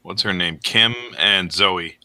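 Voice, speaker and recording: A man on a live stream chatting casually and enthusiastically, pausing with fillers. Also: low-pitched, bright, forceful tone.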